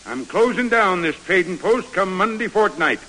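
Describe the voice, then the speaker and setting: An actor playing a blustery, folksy trading post keeper in an old-West radio drama from the 1940s. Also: male voice, high-pitched, animated, normal speed, pushed and bright, heavily acted. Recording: hissy old radio broadcast, long reverb.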